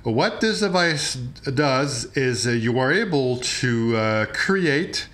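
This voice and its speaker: A man presents an unscripted instructional demonstration, explaining step by step in a halting way. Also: mid-range voice, expressive, a bit bright.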